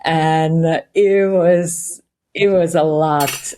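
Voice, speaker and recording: Finnish-accented woman, meditation guide, low-ish voice, thoughtful and open, laughs lightly. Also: fairly even intonation, drawn-out words, dark tone, slight background noise. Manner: reflective, candid, frequent light laughs. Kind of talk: conversational interview.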